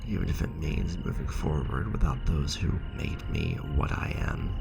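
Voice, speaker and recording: A man's voice: a bass voice, sing-song, moderate pace, gravelly, music in the background, exaggerated voice. A man playing a proud, guilt-ridden captive clan warrior, terse and stiffly formal, in a sci-fi ASMR roleplay. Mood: bitter.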